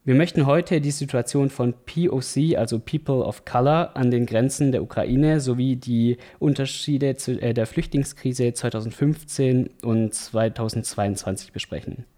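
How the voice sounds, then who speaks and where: Male podcast host, reads prepared intro, steady, warm dark tone. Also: mid-range voice, moderate pace, few pauses. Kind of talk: scripted intro and interview questions.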